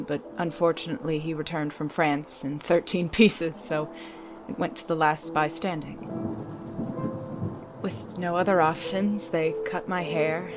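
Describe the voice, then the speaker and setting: Sardonic, secretive spy living as a man, played by a woman: lowish voice, formal wry phrasing, noir radio play, music underneath. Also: natural voice. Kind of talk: noir audio drama acting.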